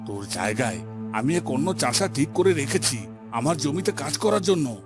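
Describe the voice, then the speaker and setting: A man's voice: a little high, lively intonation, normal speed, close to an everyday voice. Man acting a haughty, domineering village headman. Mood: angry, scornful. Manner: stern, imperious. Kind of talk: melodramatic cartoon voice acting. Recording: background music under the voice.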